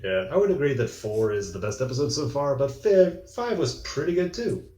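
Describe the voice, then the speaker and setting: A man chats casually as a panelist. His pitch moves around in a lively way. The recording is noticeably echoey, as if in a bare room. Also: a little fast. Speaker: reaction panelist. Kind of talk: casual group discussion.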